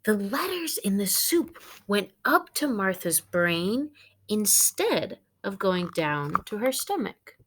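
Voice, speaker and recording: A woman teacher reading a children's storybook aloud to her students in an expressive way. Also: medium pitch, takes it slowly, slight background noise, low-quality recording.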